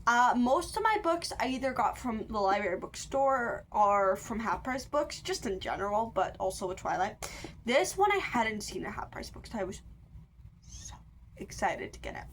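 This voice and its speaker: A woman's voice: a little high, a little hiss or hum. A nineteen-year-old woman chatting and ranting about books, rambling with lots of fillers and self-corrections. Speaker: book vlogger. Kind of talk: casual chatty book review rant.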